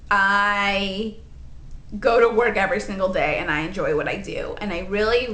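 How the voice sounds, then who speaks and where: A woman in her late twenties chatting in a rambling, animated way for her vlog, full of asides and "like.". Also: mid-range voice, echoey room. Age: 20s. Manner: rambling, animated, lots of "like" and "honey". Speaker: vlogger.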